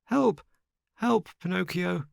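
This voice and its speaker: A man's voice: medium pitch, expressive, a little slow, no room sound, clean studio sound, voice pushed up. A man voicing a mischievous boy in a storybook, evasive and mock-polite in manner. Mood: panicked.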